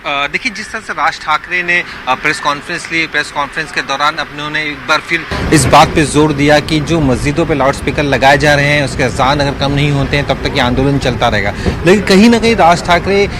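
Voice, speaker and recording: Over clear street noise, a man with a fairly high voice files a live news report from the field. He is wordy and halting, circling back to the same stock phrases. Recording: clear outdoor traffic noise.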